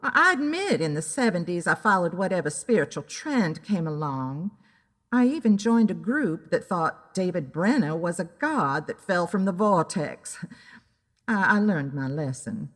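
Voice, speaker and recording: A woman voicing a flighty, excitable, melodramatic female client in an audio story. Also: lowish voice, measured pace, long reverb tail. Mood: wistful, flighty.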